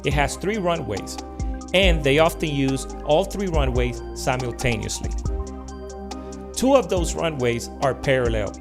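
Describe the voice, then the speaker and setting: A man's voice: fairly high pitch, creaky. A man narrating an explanatory aviation video as the host, addressing viewers directly. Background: music.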